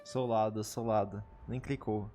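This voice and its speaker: A man's voice: lowish voice, steady tone, moderate pace. Slangy Brazilian male streamer over music. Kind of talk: casual livestream game reaction. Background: game music.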